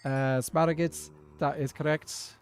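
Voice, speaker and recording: A man's voice: a little high, steady tone, takes it slowly, clean tone. A young Irish man chatting casually and at length while he plays a game, answering chat as he goes. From Ireland. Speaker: streamer. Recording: faint music in background. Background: faint background music.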